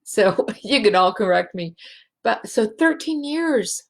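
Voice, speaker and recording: A woman hosting a spiritual show, speaking in a halting, emotional way and close to tears. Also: mid-range voice, expressive, gravelly. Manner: slow, halting and emotional, many restarts. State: teary. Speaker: show host, healing facilitator. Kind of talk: heartfelt spiritual show monologue.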